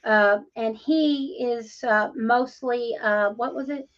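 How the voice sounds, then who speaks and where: Female co-host, rambling and chatty, slightly high voice, muffled sound. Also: slow. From Ohio, USA. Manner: chatty, rambling, with many ums. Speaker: podcast co-host. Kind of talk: casual interview conversation.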